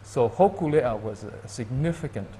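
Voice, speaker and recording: A man from Hawaii, a guest in an interview, speaking thoughtfully and haltingly with many restarts. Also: mid-range voice, animated, warm tone, slight background noise. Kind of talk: reflective interview talk.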